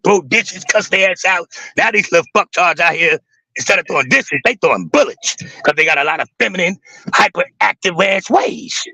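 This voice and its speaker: Raspy man with a high, bright voice. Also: no echo, rough recording. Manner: intense, emphatic, swears freely. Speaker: guest on a live stream. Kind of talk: casual livestream talk.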